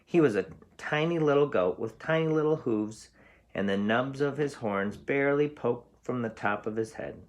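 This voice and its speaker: A man's voice: medium pitch, moderate pace. A man reading a storybook aloud as a teacher, explaining things simply for young children.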